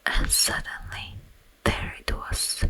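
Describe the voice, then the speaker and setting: A woman whispering as a sleep paralysis demon in an ASMR roleplay, softly menacing yet soothing, telling an eerie story in hushed, drawn-out phrases. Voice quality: breathy, unvoiced whisper. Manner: slow, hushed, drawn-out phrasing with long pauses. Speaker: ASMR performer.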